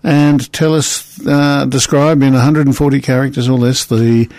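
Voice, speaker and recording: A man's voice: mid-range voice, little pitch movement, moderate pace, darkish tone, no echo. A man co-hosting a tech radio show, laid-back, with dry punning humor. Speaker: radio co-host. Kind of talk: casual tech radio chat.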